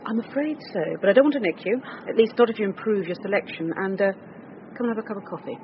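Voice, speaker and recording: Actress as quick, animated female detective. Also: mid-range voice, lightly acted. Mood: wry, friendly. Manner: brisk, wry. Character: brisk, wry, warm-hearted female police detective. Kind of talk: naturalistic radio drama acting. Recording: muffled radio recording with noise.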